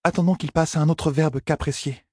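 Man voicing a high-pitched, earnest young noblewoman. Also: fairly even intonation, fairly quick, darkish tone, husky, no room sound, studio quality. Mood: anxious, earnest. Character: earnest young noblewoman, nervous, tender and bold in love.

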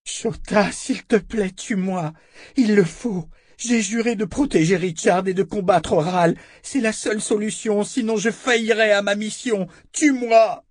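Man voicing a desperate young woman, high and pleading. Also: measured pace, no echo, studio quality. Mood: anguished pleading. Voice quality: broken, tearful voice. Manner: pleading, anguished.

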